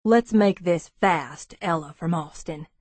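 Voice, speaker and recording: Woman voicing a low, wry Texan businessman. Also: slow pace, a little mellow, no room sound. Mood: wry, brisk. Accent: Texas. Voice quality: deep baritone drawl. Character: brisk masculine Texan businessman, deep-voiced, easygoing, wryly amused. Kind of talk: audiobook character dialogue.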